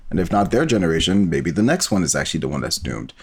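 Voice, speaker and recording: A man's voice: sits low, very animated, fast, slightly rough. A man in a casual, reflective conversation as a podcast guest, hesitant and searching for his words. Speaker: podcast guest, fiction podcast creator.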